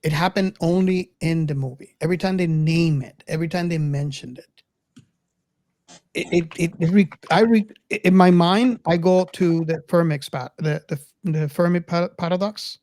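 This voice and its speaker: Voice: medium pitch, normal speed, darkish tone. A podcast host in a casual film discussion, speaking hesitantly and often stammering over repeated words.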